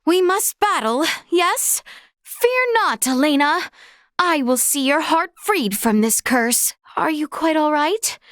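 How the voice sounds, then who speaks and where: A woman's voice: high-pitched, animated, slow pace, fairly bright tone, dry recording, studio quality, full character voice. A woman voicing an earnest, courteous young noblewoman warrior in a video game, with formal, old-fashioned, genteel phrasing. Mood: reassuring, earnest. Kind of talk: scripted video game character voice lines.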